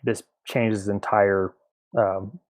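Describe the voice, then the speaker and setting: A man's voice: lowish voice, steady tone, slow. A man casually leading a book club discussion on a podcast, in an informal conversational style.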